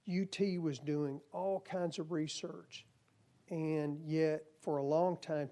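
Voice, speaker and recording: Hoarse guy at a hearing, kinda high, mellow voice, earnest and folksy. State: losing his voice. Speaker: commission nominee. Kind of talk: hearing testimony. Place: legislative hearing room.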